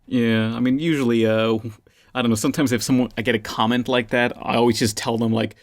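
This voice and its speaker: A man's voice: mid-range voice, fairly even intonation, fast talker. A man hosting a casual podcast chat in a joking, sarcastic, crude way.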